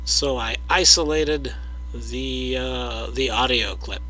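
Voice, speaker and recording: A man narrating his own video, explaining his audio analysis in a measured way, with frequent hesitations and direct words to the viewer. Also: mid-range voice, background noise.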